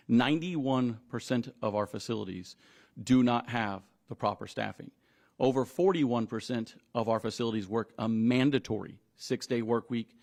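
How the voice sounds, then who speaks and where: A man's voice: mid-range voice, creaky. Man giving emphatic press conference statements. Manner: emphatic, deliberate, repeating phrases for stress. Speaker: union leader at a press conference.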